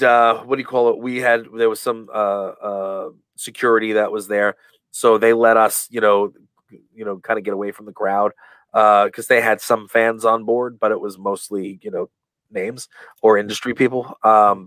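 Man with a low, dry, rambling voice. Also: a bit bright, no echo. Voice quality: big booming voice. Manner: rambling, many fillers and restarts. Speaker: guest. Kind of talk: casual interview storytelling.

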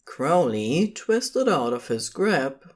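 A woman's voice: low voice, very animated, takes it slowly. A woman reading scripted fiction as the narrator of an audio drama.

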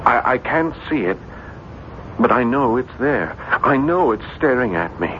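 An actor playing an anxious, haunted French writer in a 1970s radio drama, with intense, dramatic delivery. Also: male voice, medium pitch, big pitch swings. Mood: haunted, terrified. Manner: intense, dramatic, halting repetitions. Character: anxious, haunted male French writer, tormented by night terrors. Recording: noisy, muffled 1970s radio broadcast.

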